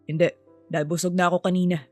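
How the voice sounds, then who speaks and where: Woman as a curt, lower-voiced serious man. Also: level tone, fast, a little mellow, dry recording, soft background music, close to an everyday voice. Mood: serious, dry. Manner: curt, dry. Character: serious, terse adult male boss and father. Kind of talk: dramatised romance storytelling.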